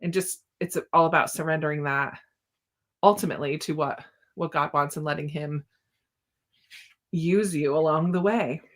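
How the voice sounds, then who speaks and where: Giggly woman hosting a book chat, voice a bit on the low side, super casual. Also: moderate pace, pauses often, a bit bright. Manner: giggly, often laughing through her words. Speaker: book discussion host.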